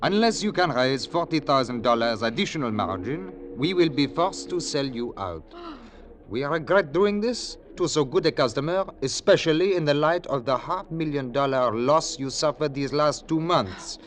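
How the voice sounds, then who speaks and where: An actor playing a kindly, wry older gentleman with courteous, slightly hesitant formal speech, in vintage radio drama style. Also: on the high side, lively intonation, normal speed, lightly acted. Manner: formal, hesitant, genial foreign-style phrasing. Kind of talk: 1940s-50s radio drama acting. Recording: vintage radio broadcast recording.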